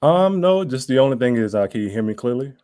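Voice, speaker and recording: A man's voice: mid-range voice, animated, quick pace, warm tone. A man, an auditioning actor, speaking briefly and casually on a call.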